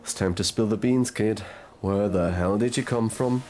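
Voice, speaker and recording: A man playing a gruff, sardonic detective in an audio roleplay drama, drawling and murmuring through his pauses. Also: fairly low pitch, darkish tone, slight background noise, lightly acted. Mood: probing. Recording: music behind the voice.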